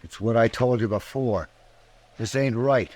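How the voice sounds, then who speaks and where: An American actor playing a principled, plain-spoken rancher who objects firmly, in a radio western. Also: male voice, mid-range voice, unhurried, a little mellow, close to an everyday voice. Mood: troubled, firm. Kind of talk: 1950s radio western acting.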